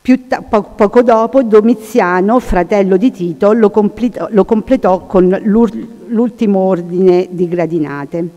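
Woman, soft mellow tone, informal lecture, halting delivery, long hall reverb. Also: mid-range voice. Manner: halting, searching for words. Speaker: conference speaker. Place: large hall, seated at panel table. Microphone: table microphone.

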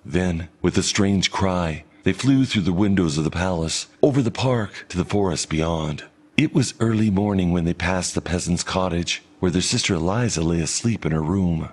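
Male audiobook narrator, deep and slightly husky, expressive fairy-tale reading. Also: normal speed. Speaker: audiobook reader.

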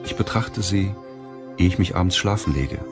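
A man reading an audiobook as scripted narration in a measured, deliberate way. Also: sits low, expressive, music in the background.

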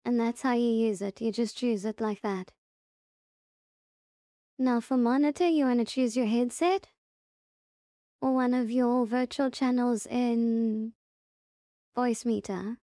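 A woman presenting a casual software tutorial step by step, unhurried and thinking aloud as she goes. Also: fairly high pitch, fairly even intonation, no room sound, studio quality.

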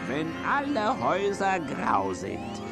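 A man's voice: sits high, wildly swooping pitch, vocal fry, over music, heavily acted. A man playing a grumpy, vain, bossy goblin villain who sneers and exclaims in a children's audio drama. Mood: gleefully scheming. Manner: exclaiming, sneering, drawn-out emphasis.

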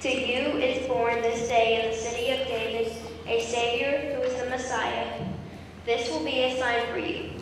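A woman playing a gentle female angel in a church nativity pageant, speaking softly and earnestly. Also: on the high side, flat delivery, moderate pace, slight background noise, close to an everyday voice. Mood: proclaiming. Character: gentle female angel watching over shepherds. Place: large church sanctuary. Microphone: far camcorder mic. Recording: echoey amateur camcorder audio from far off.

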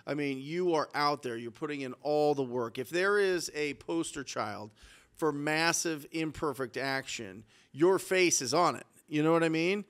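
A man's voice: fairly high pitch, normal speed. A man in his forties hosting a podcast interview, talking energetically and emphatically on a studio mic. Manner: energetic, emphatic, hypes the guest. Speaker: podcast host, real estate coach. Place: studio set with mountain mural. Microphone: studio mic on boom arm.

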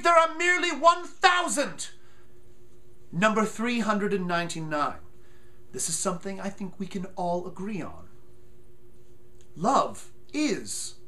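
A man in his thirties giving a mock-solemn dramatic reading from a book, theatrical and deliberate, with emphatic pauses. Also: high-pitched, a bit bright. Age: 30s. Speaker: comic performer reading aloud. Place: small furnished room at home, indoors.

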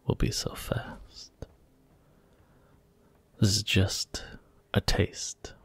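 Soft-spoken ASMR gaming host, man, close whisper with a slightly low pitch. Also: gravelly, breathy edge, no echo. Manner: whispered, unhurried and gentle.

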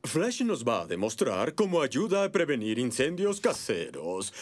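A man's voice: mid-range voice, huge pitch swings, a little slow. A man playing a jovial, self-assured cartoon fire chief who instructs children in a showy way. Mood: proud presenting. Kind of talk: animated cartoon acting, safety lecture.

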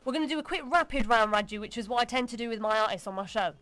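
A woman's voice: a little high, animated, rapid-fire, fairly bright tone, no room sound. A woman hosting a casual live radio interview in an upbeat, encouraging way. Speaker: radio host, DJ.

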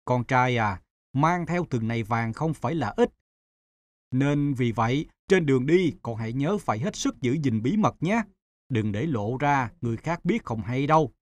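Casting: man as careful merchant dad, fairy-tale cartoon, measured, advising, medium pitch. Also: expressive, dry recording, lightly acted. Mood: earnest, cautious. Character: cautious middle-aged merchant father, earnest and protective.

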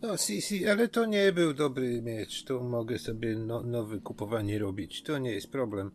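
A man's voice: medium pitch, normal speed, a little hiss or hum, natural voice. A man playing a carefree, swaggering street brawler in an RPG audio play, speaking in broken grammar with Spanish interjections. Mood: casual, dismissive. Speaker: tabletop RPG player. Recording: music behind the voice.

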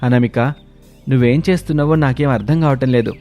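A curious young husband in a cartoon village tale, voiced by a man. He asks his questions in a mellow, darker voice over faint music. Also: medium pitch, fairly quick, close to an everyday voice. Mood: puzzled.

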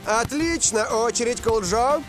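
A man voicing an excitable, dramatic football commentator in an animated cartoon. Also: extremely high pitch, measured pace, music in the background. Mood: excited. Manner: dramatic announcing.

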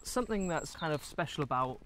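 A man's voice: on the high side, expressive, brisk, a bit bright, faint music behind. A British man in his twenties chatting casually and musingly as he walks outdoors, co-hosting a film discussion. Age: 20s. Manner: rambling, musing. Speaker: film review co-host. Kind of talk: casual chat while walking. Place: outdoors, walking through countryside and woods. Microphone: handheld camera at arm's length. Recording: outdoor camera audio with background noise.

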